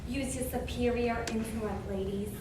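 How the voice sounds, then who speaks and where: Woman playing an eager, high-voiced dim henchman. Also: fairly even intonation, moderate pace, close to an everyday voice. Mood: conspiratorial. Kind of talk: amateur stage comedy acting. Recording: echoey hall, far mic, noisy.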